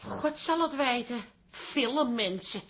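A woman's voice: fairly high pitch, lively intonation, takes it slowly. An actress in a radio comedy playing a down-to-earth, bossy pub woman with a matter-of-fact, familiar manner. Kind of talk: radio comedy drama acting.

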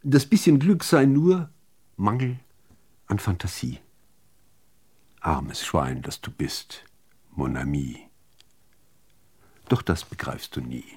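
A man reciting literary poetry, phrasing it in a measured, deliberate way with expressive emphasis. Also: deep voice, slightly rough. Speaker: reader of poems.

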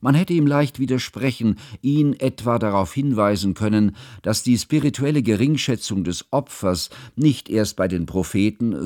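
An actor playing a mild, cultured schoolteacher who narrates his memoir in a radio drama, measured, bookish and reflective. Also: on the low side, darkish tone, no echo, clean studio sound, lightly acted.

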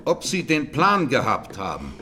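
An actor playing a sober presiding judge in a 1920s trial radio drama, questioning formally and insistently. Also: male voice, mid-range voice, huge pitch swings, measured pace, pushed and bright, a little hiss or hum, heavily acted. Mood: formal, probing.

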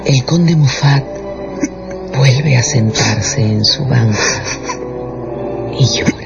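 A man's voice: medium pitch, takes it slowly, vocal fry. A man narrating a radio drama as a scripted, dramatic storyteller. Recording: dull radio production with music bed.

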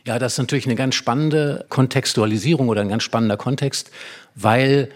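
A man's voice: mid-range voice, a little fast. A man speaking as an expert guest in a conversation about literature, explaining in long, winding sentences. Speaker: expert guest discussing a play.

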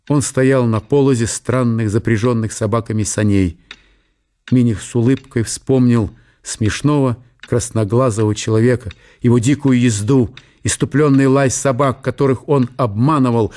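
A man giving a measured, storytelling narration of a literary radio drama. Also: mid-range voice, dark tone. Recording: music under the narration. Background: music.

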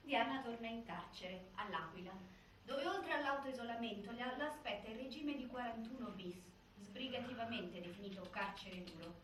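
A woman's voice: on the high side, moderate pace, a bit bright. A woman narrating a scripted documentary theatre piece in a very echoey hall. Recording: very echoey hall, distant, faint noise.

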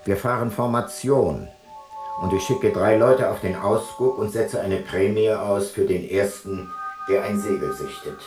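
A man's voice: fairly low pitch, measured pace, over loud music, natural voice. An actor playing an ancient Greek seafarer, a sober commander reading his logbook tersely in a radio play. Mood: sober, measured. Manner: terse, matter-of-fact.

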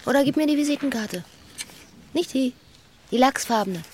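A woman's voice: sits high, animated, moderate pace, darkish tone, a little hiss or hum. An actress playing a bitter, watchful woman who narrates and talks to a former lover in a sardonic, observant way in a literary radio play. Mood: biting, provocative.

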